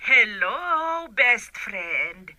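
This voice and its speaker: A woman's voice: medium pitch, expressive, very slow, pushed and bright, no room sound, exaggerated voice. A woman with an Ilocano accent playing a bossy friend on a phone call in a radio comedy drama. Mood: brisk. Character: bossy friend giving orders over phone.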